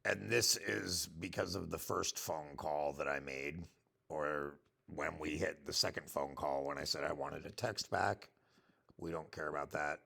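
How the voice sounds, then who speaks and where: A man with a gravelly, husky voice, casually walking through a software demo and thinking aloud as he goes. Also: a bass voice, steady tone, unhurried. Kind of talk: casual screen-share product walkthrough.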